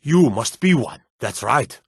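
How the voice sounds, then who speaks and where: A man's voice: mid-range voice, expressive, measured pace, husky, no room sound, studio quality. A man playing an earnest, superstitious Nord town guard and soldier in a fantasy video game, speaking in a plain, hardy way. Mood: awed.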